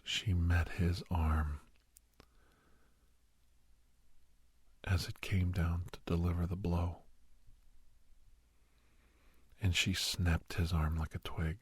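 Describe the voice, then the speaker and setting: A man playing a grave doctor in an ASMR roleplay, telling a haunting memory in a hushed, halting, breathy voice that often drops to a whisper. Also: low voice, dry recording, full character voice. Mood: awed, intense. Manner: halting, hushed, dramatic delivery. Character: grave male doctor recounting a frightening memory to youngster.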